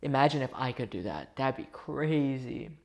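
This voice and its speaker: A man's voice: medium pitch, lively intonation, normal speed, creaky. A man born in India chats on a livestream with a mid-range voice. He rambles, restarts sentences and throws asides to his viewers. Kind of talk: casual live-stream chat.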